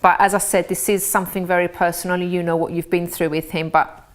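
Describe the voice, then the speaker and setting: A woman hosting a TV talk show, asking questions and giving advice in a gentle, hesitant way. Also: lowish voice, little pitch movement, fairly quick. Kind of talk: casual interview chat.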